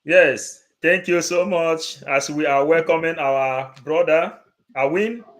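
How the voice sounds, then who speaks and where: A man's voice: fairly high pitch, slow pace, fairly bright tone. A man hosting a live stream, affirming his guest and repeating words as he goes. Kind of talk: casual hosting.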